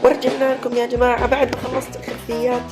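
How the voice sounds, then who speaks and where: A man's voice: very high-pitched, completely flat delivery, rapid-fire, music in the background. A young man with a Levantine Arabic accent, talking casually in a self-mocking video diary and sometimes slipping into jokingly formal Arabic. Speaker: amateur animator and vlogger.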